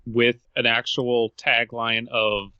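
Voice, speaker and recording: A man's voice: medium pitch, flat delivery, takes it slowly, clear, resonant tone, no echo, muffled recording. A man co-hosting a casual podcast, critiquing in a wry, sardonic way with casual swearing. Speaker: podcast co-host.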